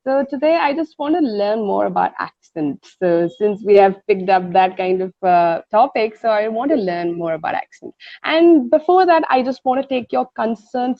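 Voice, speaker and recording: A woman in her thirties with an Indian English accent, an English teacher chatting casually on an online tutoring call, laughing often and filling pauses. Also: mid-range voice, lively intonation, vocal fry, no echo. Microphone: video call. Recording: dry, muffled video call audio.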